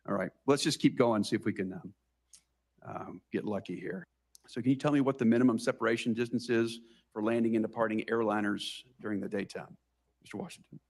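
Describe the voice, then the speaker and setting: An American man questioning a witness as a senator at a formal hearing, brisk and pressing with halting pauses. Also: fairly low pitch, vocal fry, a little mellow.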